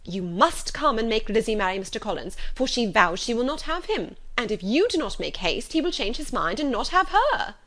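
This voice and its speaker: Lady doing a flustered storybook mum, high and excitable, really insistent, swoops all over. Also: moderate pace, few pauses. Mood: frantic, flustered. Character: fretful, excitable middle-aged mother, easily alarmed.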